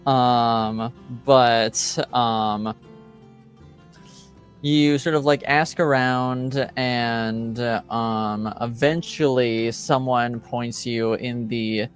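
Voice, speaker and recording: A man improvising narration as game master of a tabletop game, halting as he thinks aloud. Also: medium pitch, fairly even intonation, faint music behind.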